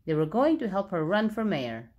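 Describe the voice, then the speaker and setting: A woman reads a picture book aloud for young children. Her mid-range voice moves up and down in a very animated way. She phrases each sentence clearly and expressively. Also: medium pitch, normal speed. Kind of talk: storybook read-aloud.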